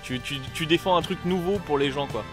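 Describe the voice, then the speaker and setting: A man's voice: on the high side, a little fast. A man from a band chatting loosely in a festival interview, rambling with many fillers and casual slang. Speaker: band member. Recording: music playing behind.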